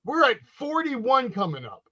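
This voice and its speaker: A man in his forties co-hosting a casual wrestling podcast, ranting loudly and slipping into mock wrestler catchphrases. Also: very high voice, huge pitch swings, unhurried, lo-fi sound. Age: 40s. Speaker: podcast co-host.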